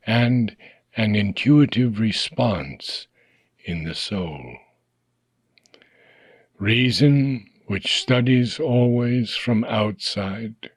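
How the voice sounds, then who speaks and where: A man reading a philosophical book aloud for an audiobook, in slow, measured phrases that trail off at their ends. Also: medium pitch, breathy edge, no room sound, clean studio sound.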